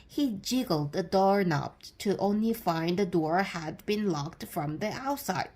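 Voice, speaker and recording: Slow, deliberate woman reading a storybook. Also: mid-range voice, expressive, a little mellow. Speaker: storybook reader. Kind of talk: scripted children's read-aloud narration.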